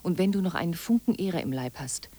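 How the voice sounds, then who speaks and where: Radio drama wife: disappointed, determined, articulate, lower register with a husky grain. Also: female voice, animated, fairly quick, warm tone. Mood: bitter, resolute. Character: disappointed, resolute wife writing to her husband. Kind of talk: radio drama letter monologue.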